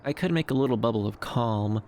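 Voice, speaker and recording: A man playing a shy, gentle young dream fox companion, speaking softly and hesitantly in a soothing ASMR roleplay. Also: medium pitch, fairly quick, a little hiss or hum, lightly acted. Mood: bashful, tender. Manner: soft-spoken, bashful, hesitant, soothing. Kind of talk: ASMR roleplay, soft comforting monologue.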